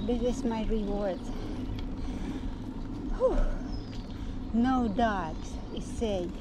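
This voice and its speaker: A woman with a high voice talks slowly as she rides her bike, giving short remarks in simple, broken English. She is recorded on a handlebar action camera outdoors. Loud wind and passing traffic sit under her voice. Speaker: cycling vlogger. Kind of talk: casual running commentary while riding. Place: outdoors on a bike along roads and a fishing pier. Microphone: action camera mounted on handlebars.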